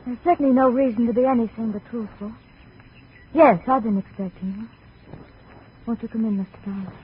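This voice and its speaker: An actress playing a troubled, guarded American femme fatale with melodramatic hesitations, in 1950s radio drama style. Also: female voice, fairly high pitch, brisk, breathy edge. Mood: composed. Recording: dull, faintly noisy vintage radio recording.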